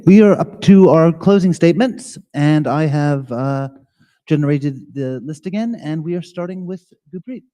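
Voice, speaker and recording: A man moderating a candidate forum in a brisk, matter-of-fact way, calling on each speaker. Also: on the high side, expressive, dark tone.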